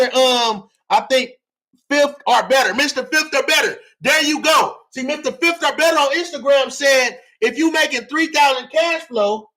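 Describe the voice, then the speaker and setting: A man giving a fired-up livestream lecture, loud and often yelling, repeating phrases and asking the audience to answer, his voice strained from shouting. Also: very high-pitched. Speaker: livestream real estate teacher.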